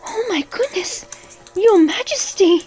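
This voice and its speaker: A woman's voice: very high voice, animated, unhurried, darkish tone, soft background music, poor audio. A woman playing a timid, flustered royal subject in a fantasy audio drama, stammering and deferential. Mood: flustered, anxious. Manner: stammering, apologetic.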